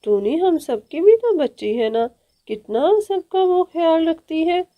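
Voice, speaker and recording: A woman's voice: very high voice, big pitch swings, a little slow, phone-quality sound, pitched above the performer's own voice, full character voice. A woman voicing an outspoken, protective elder aunt bird in a cartoon, with a scolding, emphatic delivery. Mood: indignant, rallying. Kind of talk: Hindi children's cartoon dialogue.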